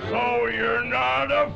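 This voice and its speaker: A man's voice: extremely high pitch, vocal fry, full character voice. An actor playing a gleeful, wicked pincushion man in an old cartoon, boastful and theatrical, speaking in singsong rhyme. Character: gleeful wicked pincushion man, taunting villain of a balloon land. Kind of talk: 1930s cartoon voice acting, singsong rhymed verse. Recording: muffled old cartoon soundtrack with music.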